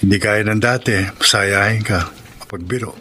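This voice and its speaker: An actor playing a concerned, sympathetic male friend with a Filipino accent, gentle in a radio drama. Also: lowish voice, moderate pace, slight background noise.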